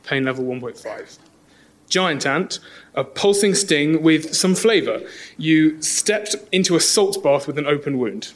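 Dramatic male reader, slightly high voice. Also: animated, unhurried. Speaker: podcast panellist reading a paper aloud. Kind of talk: dramatic reading.

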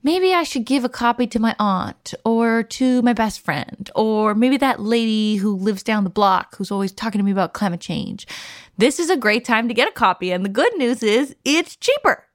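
A woman's voice: fairly high pitch, animated, moderate pace, no echo, clean studio sound. A woman co-hosting a podcast in a casual, conversational style. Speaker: podcast co-host.

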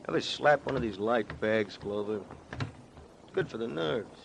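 An actor playing a tough, weary boxing-gym boss with punchy streetwise delivery in an old-time radio crime drama. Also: male voice, mid-range voice, a little slow, vocal fry. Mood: wry, subdued. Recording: slightly noisy vintage radio recording.

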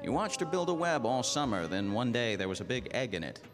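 An actor playing a world-weary, hardboiled American detective in a 1940s-style noir radio drama, terse and slangy. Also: male voice, mid-range voice, very animated, brisk, lightly acted. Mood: blunt. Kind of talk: 1940s radio noir acting, narration and dialogue. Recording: music bed under radio drama.

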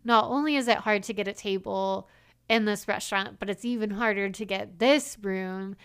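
A woman's voice: mid-range voice, normal speed, fairly bright tone, clean tone. A woman co-hosting a podcast, chatting casually and acting out quoted lines with lots of "like" fillers. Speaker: podcast co-host. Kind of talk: casual chat.

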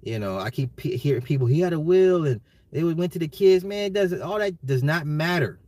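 An American man ranting casually on a livestream, emphatic and slangy, repeating his phrases, his voice getting slightly hoarse. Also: a little high, lively intonation, fairly quick, warm tone, dry recording. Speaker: livestream host. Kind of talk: casual opinionated livestream rant.

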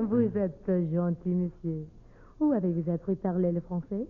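A woman's voice: on the low side, lively intonation, normal speed, a little hiss or hum, lightly acted. A woman with a French accent playing a charming, politely inquisitive chanteuse in 1940s radio comedy. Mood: amused. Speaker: guest singer. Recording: narrow-band old radio broadcast.

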